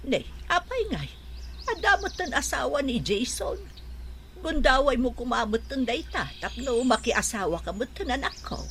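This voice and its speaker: A woman's voice: sits high, very animated, normal speed, a bit bright, slight background noise, full character voice. A woman acting a concerned, meddling older mother in an Ilocano radio drama, dramatic and coaxing, with an Ilocano accent.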